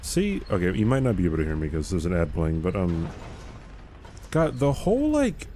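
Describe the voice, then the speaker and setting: A man with a very deep, mellow voice chats on a live game stream, joking and rambling with plenty of fillers. His tone is calm and smooth like a radio voice, with a faint hiss behind him. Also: moderate pace. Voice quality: low calm radio-type voice. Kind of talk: casual live-stream chatter.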